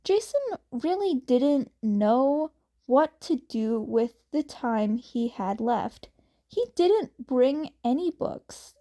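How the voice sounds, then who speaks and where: A woman's voice: sits high, animated, slow, dark tone. A woman narrating a piece of fan fiction as an amateur reader, in a scripted storytelling style.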